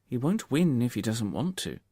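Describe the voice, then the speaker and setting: Man reading as a precise, animated detective. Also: medium pitch, no echo, clean studio sound, voice pushed up. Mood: brisk, courteous. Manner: measured, precise, explanatory. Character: calm, shrewd Victorian male detective, politely methodical.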